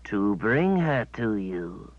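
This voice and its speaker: A man voicing a squat, groveling male creature servant who speaks in slow, broken, whining phrases. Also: fairly low pitch, sing-song, no echo, pitched above the performer's own voice, heavily acted. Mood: dutiful. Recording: dull, dry sound.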